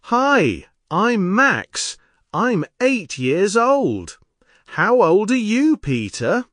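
An actor voicing a friendly young schoolboy in a scripted textbook dialogue, speaking slowly and clearly. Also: medium pitch, big pitch swings, a bit bright, no echo, clean studio sound, full character voice. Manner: slow, clear, carefully spoken.

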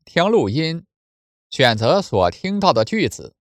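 Brisk man announcing test instructions. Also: on the high side, sing-song, dry recording.

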